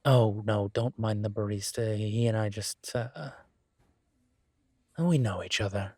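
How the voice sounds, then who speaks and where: A man playing a low-voiced, suave demon professor. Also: a little mellow, husky, no room sound. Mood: amused, relaxed. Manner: wry, measured, teasing, formal wording. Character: suave male incubus demon professor, teasing, protective. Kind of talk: roleplay audio drama.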